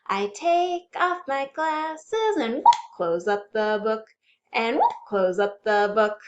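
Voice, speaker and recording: A woman hosting a children's storytime, reading aloud and chanting rhymes in an animated, exaggerated way for young children. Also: fairly high pitch, slow, dull audio, slight background noise. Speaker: children's librarian storytime host.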